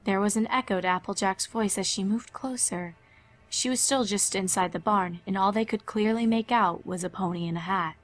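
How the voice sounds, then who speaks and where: A woman's voice: medium pitch, moderate pace. A woman reading a scripted horror story as a dramatic narrator.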